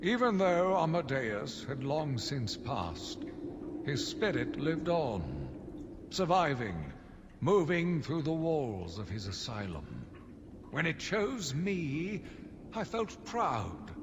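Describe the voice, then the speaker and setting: A zealous, deluded asylum warden for a game. His delivery is grandiose and deliberate, very slow and full of swelling pitch movement. A long reverb hangs over his theatrical diary confession. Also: big pitch swings. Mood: grandiose fervor. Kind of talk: dramatic first-person game monologue. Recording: long reverb, clearly noisy ambient backdrop.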